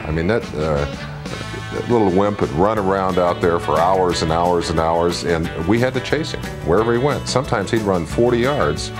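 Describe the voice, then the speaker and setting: A man's voice: sits low, moderate pace. A man, a former football player, telling stories in an interview with a colorful grumble. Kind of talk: interview storytelling. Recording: guitar music behind.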